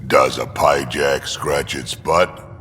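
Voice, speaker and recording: Huge gruff old alien warrior from a game, slow, big hall reverb with noise behind. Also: male voice, rumbling low, a bit bright, full character voice. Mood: gruff teasing. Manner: slow, weighty storytelling. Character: battle-worn old alien warrior, gruff but hopeful. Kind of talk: video game character dialogue.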